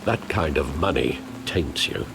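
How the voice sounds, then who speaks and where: An actor playing a loyal, weary older butler and guardian in a video game, speaking in a measured, earnest, formal way. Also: male voice, extremely low pitch, expressive, gravelly, noisy background, full character voice. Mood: pained, earnest. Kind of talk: dramatic video game acting.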